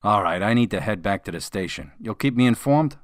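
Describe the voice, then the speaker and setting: An actor playing a skeptical, grumbling police officer in a radio drama, sardonic and matter-of-fact. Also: male voice, on the low side, animated, brisk, no room sound, studio quality, lightly acted. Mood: sardonic, impatient. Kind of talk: modern radio drama acting.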